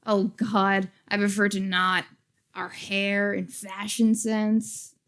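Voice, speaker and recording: A woman's voice: mid-range voice, level tone, slow, a bit bright. A woman voicing an awkward, bashful teenage girl in an amateur webcomic dub, sounding self-conscious. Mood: embarrassed. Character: awkward, bashful teenage girl artist, DeviantArt website personified.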